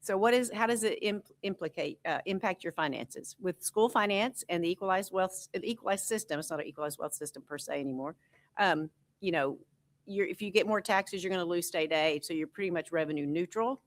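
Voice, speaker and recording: A woman with a somewhat low voice walks a school board through tax figures. She explains patiently and conversationally, with frequent ums and asides. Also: a little fast. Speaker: school finance consultant. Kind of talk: board meeting presentation. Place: school board meeting room.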